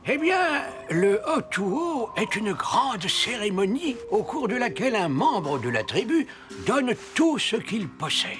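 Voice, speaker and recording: Patient old elder, swooping intonation. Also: male voice, high voice, very animated, slow pace, over music, heavily acted. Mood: patient, explaining. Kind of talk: French cartoon dubbing.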